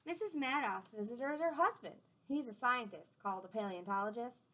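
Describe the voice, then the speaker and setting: A woman reading a picture book aloud to young children in an expressive, teacherly way, with simple explanatory asides. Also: fairly high pitch, a little fast.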